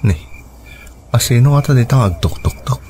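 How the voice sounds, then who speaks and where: A man's voice: mid-range voice, animated, normal speed, background noise, close to an everyday voice. An actor playing a humble young working man, startled and questioning, in a radio drama. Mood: alarmed.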